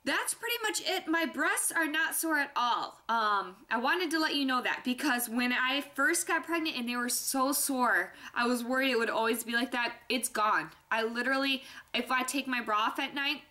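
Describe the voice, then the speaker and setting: A woman's voice: high-pitched, normal speed, hardly any pauses, pushed and bright. A young woman chatting candidly to her viewers in a casual vlog, a little stuffy-nosed. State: stuffy nose. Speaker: vlogger. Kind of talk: casual pregnancy vlog chat.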